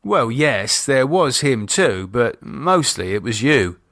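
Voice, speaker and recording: A man's voice: medium pitch, big pitch swings, slow pace, fairly bright tone, no room sound, studio quality, heavily acted. A man playing an uneasy, reluctant man with a dryly sarcastic, hesitant manner in audiobook dialogue. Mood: guarded. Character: uneasy, sardonic adult man, wary and reluctant.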